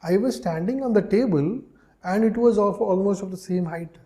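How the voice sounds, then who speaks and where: A man's voice: sits high, normal speed, warm tone. A man with an Indian accent giving an explanatory lecture as an exam coach, emphatically repeating key phrases and checking in often.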